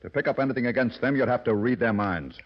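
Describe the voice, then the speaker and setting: An actor playing a gruff, irritable nightclub owner and racketeer in a tough, dismissive style of 1940s radio drama. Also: male voice, medium pitch, quick pace, natural voice. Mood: scornful, impatient. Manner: tough, dismissive gangster talk. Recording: muffled old radio broadcast.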